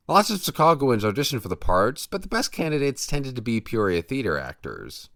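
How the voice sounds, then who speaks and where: A man's voice: mid-range voice, fairly bright tone, dry recording, clean studio sound. An American man narrating a scripted news feature as a radio correspondent.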